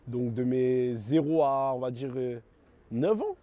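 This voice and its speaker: Jeune homme à l'de banlieue parisienne, timbre sombre, parle en argot, hésitant et décousu. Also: male voice, mid-range voice, lively intonation, measured pace, dark tone. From France, raised in London. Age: 20s. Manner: slangy, rambling, many fillers and self-corrections. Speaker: testimony giver. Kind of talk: casual spoken testimony.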